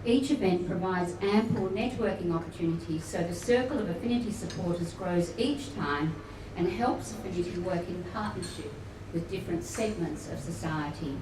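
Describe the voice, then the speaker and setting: A woman welcoming guests at a luncheon, formal but with warm chatty asides. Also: fairly low pitch, normal speed, hardly any pauses. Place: function room at a luncheon event. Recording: echoey room, distant mic, noisy.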